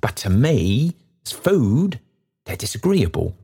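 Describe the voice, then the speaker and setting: A man voicing an excitable, kind-hearted old male porter who bustles about breathlessly and keeps repeating himself. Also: mid-range voice, moderate pace, vocal fry, voice pushed up. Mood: fussy, sly.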